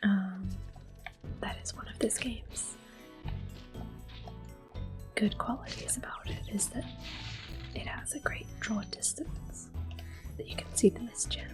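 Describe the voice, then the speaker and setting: A woman hosts an ASMR gameplay video in a hushed, whispery voice of average pitch. Her delivery is gentle and unhurried as she comments on the play. Faint music runs behind her. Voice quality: soft, breathy, whispery. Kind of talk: soft-spoken ASMR game commentary with whispering.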